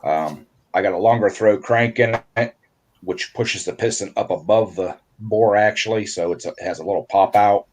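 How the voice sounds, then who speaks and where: A man, a guest on a livestream, explaining engine work in a relaxed way with lots of fillers. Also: fairly low pitch, normal speed. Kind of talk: casual technical chat.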